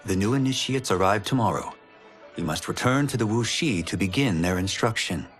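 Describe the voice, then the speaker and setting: Man as an earnest, expressive warrior monk. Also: lowish voice, a little fast, faint music behind, lightly acted. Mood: earnest, polite. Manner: polite, formal. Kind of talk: cinematic game cutscene acting.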